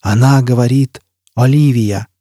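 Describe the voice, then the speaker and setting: A nightmarish burning apparition played by a male narrator for an audiobook. The voice is rasping and dark, stretching each word slowly in tormented, imperious cries, recorded very dry. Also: medium pitch, steady tone, no room sound, lightly acted. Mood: manic, insistent. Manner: slow, drawn-out, anguished exclamations. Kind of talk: audiobook character acting.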